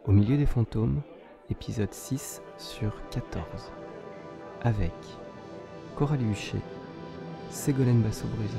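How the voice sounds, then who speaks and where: A man's voice: lowish voice, a little slow, creaky, long pauses. A man announcing the credits of an audio drama in a scripted read. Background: music.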